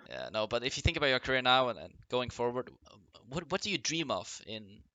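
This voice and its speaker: Male podcast host, sharp tense tone, slightly hoarse, dry room, chatty and halting. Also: medium pitch, big pitch swings, no room sound. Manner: friendly, rambling, lots of restarts and fillers. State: losing his voice, clearing throat. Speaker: interview host. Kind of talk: casual interview over voice chat.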